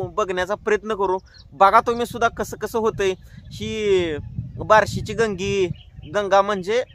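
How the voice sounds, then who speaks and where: Guy w/ rural maharashtra accent, really high voice, chatty prank show host talking to his subscribers. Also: a bit bright, slight background noise. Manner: colloquial, addresses viewers directly. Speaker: prank-call channel host. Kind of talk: casual chatty vlog narration.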